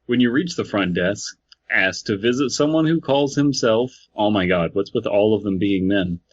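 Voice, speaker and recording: A man's voice: fairly low pitch, moderate pace, highs cut off. A man hosting a podcast, reading horror stories aloud and chatting casually with sarcastic, sweary jokes. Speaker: podcast host and story reader.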